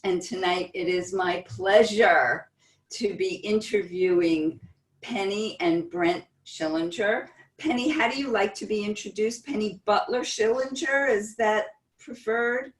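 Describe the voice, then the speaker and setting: A woman's voice: medium pitch, unhurried. A woman hosting a casual online interview, chatty and laughing often. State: sore from broken ribs. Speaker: interview host. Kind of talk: casual video-call interview. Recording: echoey room, far from the mic.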